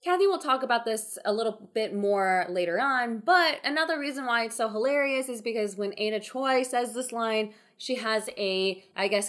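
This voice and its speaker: A woman's voice: on the high side, a bit bright. A woman co-hosting a podcast, recapping a drama and wrapping up the episode. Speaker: podcast co-host. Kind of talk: casual podcast chat.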